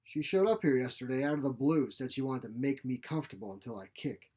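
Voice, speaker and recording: A man voicing a feisty, sharp-tongued elderly mother in a short story, blunt, folksy and grumbling. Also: medium pitch, fast talker, natural voice. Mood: indignant. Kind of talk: short story character reading.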